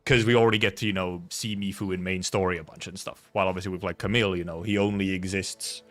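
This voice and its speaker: A man's voice: low-pitched, fairly even intonation, fast, a bit bright, soft background music. A man chatting casually on a game livestream, rambling and repeating himself as he talks. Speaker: game streamer.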